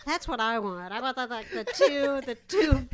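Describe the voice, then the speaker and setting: Female co-host, fairly high, slow-paced and sardonic, comic banter, clear background noise. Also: expressive. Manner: sardonic quips. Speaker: podcast co-host.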